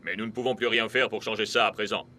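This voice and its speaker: Firm, bright-voiced football coach. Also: male voice, lowish voice, fairly even intonation, fast, slight background noise. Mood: firm, encouraging. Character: firm, composed male football coach of a team. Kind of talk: animated cartoon dub acting.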